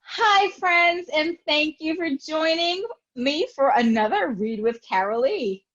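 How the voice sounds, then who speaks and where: A woman hosting a children's read-aloud show in a gushing, excited manner. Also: high-pitched, a little slow, muffled recording, music in the background.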